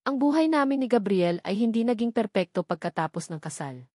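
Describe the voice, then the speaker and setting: A woman narrating a romance story in a gentle, heartfelt, somewhat formal way. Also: on the high side, expressive, a little fast, dry recording, clean studio sound. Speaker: narrator and author.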